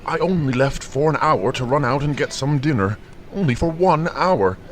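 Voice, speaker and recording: A man's voice: fairly high pitch, expressive, moderate pace, lightly acted. A man playing a flustered, defensive store manager in an audio drama. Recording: faint outdoor background noise.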